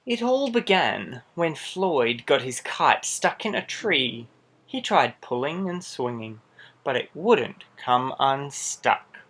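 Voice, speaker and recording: Expressive man reading a children's storybook. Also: mid-range voice. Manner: expressive, dramatic pauses and playful exclamations. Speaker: storybook reader. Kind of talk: animated read-aloud of a children's picture book with asides to young listeners.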